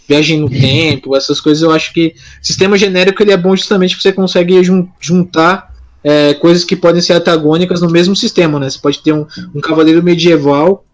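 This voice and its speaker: A man co-hosting a casual live podcast, talking informally and often interrupting himself mid-thought. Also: on the high side. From São Paulo, Brazil. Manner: informal, rambling, self-interrupting. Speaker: podcast co-host. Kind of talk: casual live chat, answering listeners.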